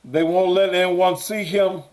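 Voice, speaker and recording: A man's voice: high-pitched, fairly even intonation, measured pace, a little mellow. A man hosting a call-in show, blunt and pressing, who repeats his questions until he gets answers. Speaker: talk show host. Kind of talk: call-in radio talk.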